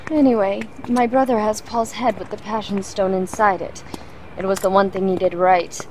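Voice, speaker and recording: A short-tempered, insistent woman mentor from a fantasy radio play, played by an actress. Her voice is medium in pitch, with slight background noise. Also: steady tone, normal speed, natural voice. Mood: earnest, explaining. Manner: earnest, insistent. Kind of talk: fantasy audio drama acting.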